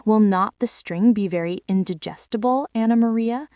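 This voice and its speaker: A woman's voice: mid-range voice, normal speed, mellow, no echo, studio quality, recorded from a distance, natural voice. A woman voicing a pompous, fussy old male rat from a children's storybook, with wordy, formal phrasing. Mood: fussy doubt.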